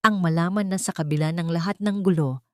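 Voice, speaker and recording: A woman's voice: lowish voice, a little fast, darkish tone, no echo, studio quality. A woman reading scripted, dramatic narration as a storyteller.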